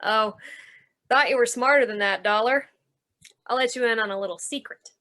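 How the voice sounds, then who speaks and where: A woman's voice: fairly high pitch, normal speed, a bit bright, natural voice. A woman playing a tough, suspicious carnival manager, brusque and slangy, in old-time radio noir style. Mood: needling, threatening.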